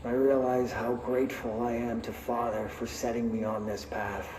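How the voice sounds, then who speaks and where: A man playing a solemn, devoted and disillusioned figure in a video game, delivering a grave, measured, reflective monologue. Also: medium pitch, flat delivery, darkish tone, slight background noise, close to an everyday voice. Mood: resolute, wistful. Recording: echoey room with music behind.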